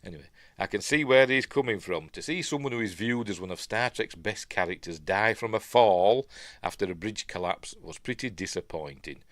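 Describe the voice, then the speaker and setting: Lively-voiced Yorkshire man, sniffly. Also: fairly low pitch, moderate pace, no echo, studio quality. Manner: chatty, self-interrupting asides and mumbled afterthoughts. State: sniffly, stuffy nose. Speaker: host of a news live stream. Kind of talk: rambling live-stream commentary.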